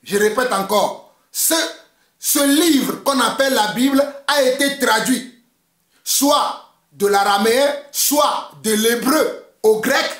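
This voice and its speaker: A man's voice: very high voice, takes it slowly, a bit bright, reverberant. A man from Togo whose first language is Mina, preaching an emphatic, fiery sermon and repeating his points. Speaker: pastor.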